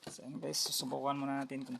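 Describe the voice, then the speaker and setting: A man with a Filipino accent giving a casual, hands-on, step-by-step repair demonstration as a tutorial host, speaking haltingly and often addressing viewers. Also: medium pitch, flat delivery, vocal fry. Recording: noisy amateur recording with clicks.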